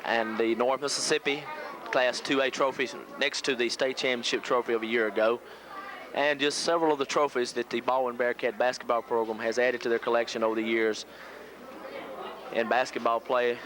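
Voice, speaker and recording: Male local play-by-play announcer from the US, mid-pitched, pregame basketball commentary, clearly noisy vintage tape recording. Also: fairly even intonation, fairly quick, a crowd in the background. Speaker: local radio play-by-play sportscaster. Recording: noisy old VHS local radio audio.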